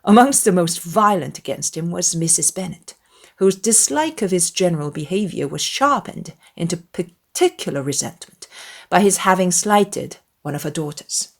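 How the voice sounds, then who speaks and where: A woman's voice: on the low side, animated, normal speed, creaky. A woman reading as an audiobook narrator, in a dramatized literary storytelling style.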